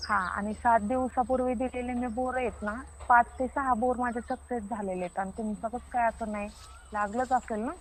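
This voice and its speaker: A woman's voice: a little high, steady tone, a little fast, slight background noise, close to an everyday voice. A woman playing a stubborn, self-assured water diviner with a rural Maharashtrian Marathi accent, arguing insistently and repeating herself in a comic phone-call skit. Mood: confident, insistent.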